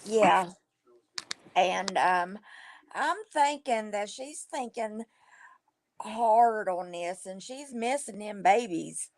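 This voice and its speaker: Hoarse woman, livestream guest, slow halting talk, dry close sound. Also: medium pitch, dry recording. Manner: slow, halting, with fillers and restarts. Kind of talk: casual chat.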